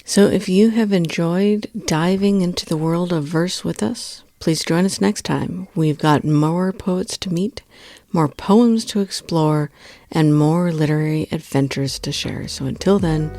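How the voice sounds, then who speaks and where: A woman's voice: lowish voice, measured pace, dark tone, no echo. A woman hosting a podcast, delivering a sign-off to listeners in an outro style. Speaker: podcast host giving sign-off. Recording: dry close recording with music behind. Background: faint music.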